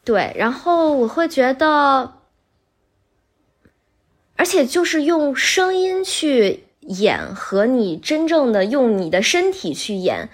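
A woman chatting casually as a podcast guest, explaining things in an animated way. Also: sits high, slow.

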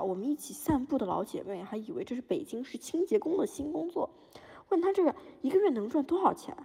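A woman's voice: on the high side, warm tone, husky, long reverb tail. A woman playing a proud, aggrieved older Beijing citizen who boasts and complains as a plaintiff in a satirical courtroom audio drama.

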